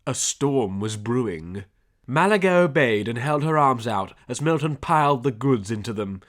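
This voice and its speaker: A man's voice: medium pitch, animated, moderate pace. A man reading a scripted adventure story as an audiobook narrator, with dramatic delivery.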